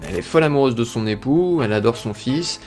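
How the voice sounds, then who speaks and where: A man's voice: mid-range voice, animated, quick pace, darkish tone, faint music behind. A man reading scripted narration for a video essay presenting cartoon characters.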